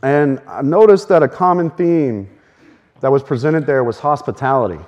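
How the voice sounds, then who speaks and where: A man's voice: mid-range voice, lo-fi sound. A man preaching a sermon earnestly, his voice a little strained, speeding up in bursts. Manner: earnest, with fast bursts and soft laughs. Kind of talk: sermon teaching.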